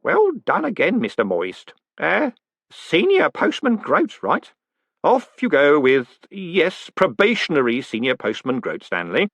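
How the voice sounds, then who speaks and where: A man voicing a sharp, quick-witted male postmaster who talks in a brisk, wry way. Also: on the high side, wildly swooping pitch, husky, no room sound, voice pushed up, exaggerated voice.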